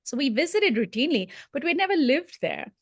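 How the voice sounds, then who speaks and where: A woman's voice: high voice, big pitch swings, fairly quick, no echo, studio quality. A woman raised in Dubai, talking earnestly as a show's senior correspondent, thoughtful, with many fillers and restarts. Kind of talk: conversational commentary.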